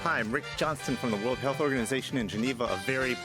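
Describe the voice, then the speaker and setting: A man's voice: medium pitch, rapid-fire, loud music behind the voice. A man bantering casually and jokingly as a contestant at a live event. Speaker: panel contestant.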